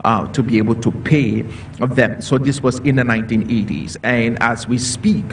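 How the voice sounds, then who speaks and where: A man's voice: medium pitch, moderate pace. A man from Cameroon speaking as a panelist in a discussion, earnest and repetitive, with many fillers. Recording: distant mic with faint noise.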